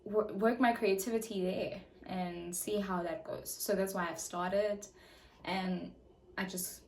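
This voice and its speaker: A woman's voice: mid-range voice, a little mellow, roomy sound, slight background noise. A young woman from South Africa answering viewer questions in a casual vlog, chatty, reading each question aloud and answering with lots of fillers. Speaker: YouTuber.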